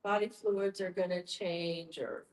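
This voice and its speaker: A woman with a notably deep voice talks in a very roomy, echoing space. She speaks informally and personally, as someone taking part in a group discussion. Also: measured pace, a little mellow. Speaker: discussion participant asking a question.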